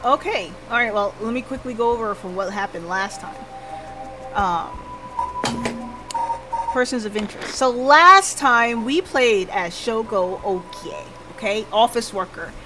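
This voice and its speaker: A woman's voice: a little high, measured pace, poor audio. A woman livestreamer casually recapping a game, thinking aloud and checking in with listeners, with music behind her. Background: background music.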